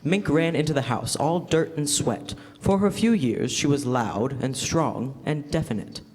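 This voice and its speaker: A man's voice: fairly high pitch, animated, unhurried, background noise. A young man playing a formal, theatrical announcer and narrator of a 1940s-style radio drama. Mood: storytelling. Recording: echoey hall, live stage.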